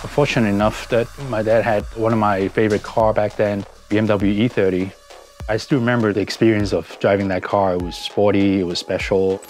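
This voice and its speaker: A man's voice: fairly low pitch, little pitch movement, a little fast, darkish tone. A middle-aged man from Hong Kong with a Hong Kong accent, telling his story reflectively as a car enthusiast in a documentary interview. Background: background music.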